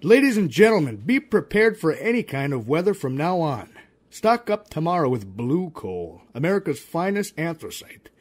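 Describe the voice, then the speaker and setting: Brief: male vintage radio announcer, lively pitch, slightly high, emphatic. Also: moderate pace, faint music behind. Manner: grand, emphatic sponsor read.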